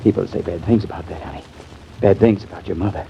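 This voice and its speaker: A low-voiced, troubled father in a 1950s radio play. He is tender and halting, heard through a muffled old recording with some noise. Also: steady tone, creaky. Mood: grave, hushed. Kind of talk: 1950s radio drama acting.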